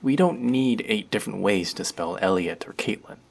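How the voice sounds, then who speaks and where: Man voicing a playful young barista, husky, gravelly, lowish voice, lively swoops in pitch. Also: moderate pace. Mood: rambling. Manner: playful, rambling, teasing. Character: chatty young male barista, friendly and teasing. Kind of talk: scripted audio roleplay.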